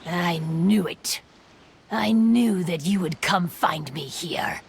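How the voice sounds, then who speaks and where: A woman's voice: lowish voice, vocal fry, a little hiss or hum. A woman playing a mysterious, guarded and menacing female spirit in human form in a video game. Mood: cold, resigned. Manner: slow, guarded, dramatic.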